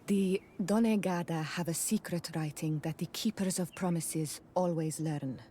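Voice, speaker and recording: Woman doing a defiant young clan daughter in a video game, kinda low voice, serious, bit of noise behind. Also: steady tone, measured pace, warm tone, lightly acted. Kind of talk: video game cutscene acting.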